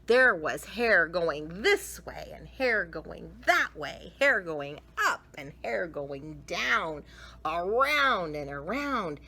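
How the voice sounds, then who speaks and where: A woman reading a picture book aloud to children in a theatrical way, with dramatic emphasis and drawn-out words. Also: lowish voice, very animated, sharp tone, breathy edge. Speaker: children's story reader.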